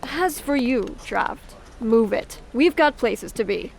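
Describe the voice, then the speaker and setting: Woman as a tough, sarcastic policewoman, highish voice. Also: animated, takes it slowly, creaky, slight background noise, full character voice. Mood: stern, scornful. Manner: curt, sardonic, bossy. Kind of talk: audio drama acting.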